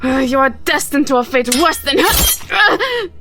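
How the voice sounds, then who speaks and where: A woman's voice: sits high, animated, takes it slowly, music in the background, exaggerated voice. A woman playing a grave, defiant elven warrior in command, with a solemn and formal manner, in a fantasy audio drama. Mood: anguished anger.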